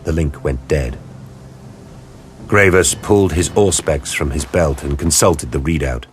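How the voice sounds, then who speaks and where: A man narrating an audio drama in a deep, husky voice with grave, deliberate storytelling. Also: soft background music.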